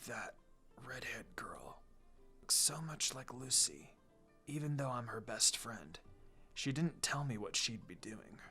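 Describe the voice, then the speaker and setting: A man's voice: medium pitch, very animated, slow pace, pushed and bright, slightly rough, heavily acted. A man voicing a brooding, menacing teenage ninja boy in a subdued, terse way for a fan fiction reading. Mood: secretive, regretful. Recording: music behind the voice.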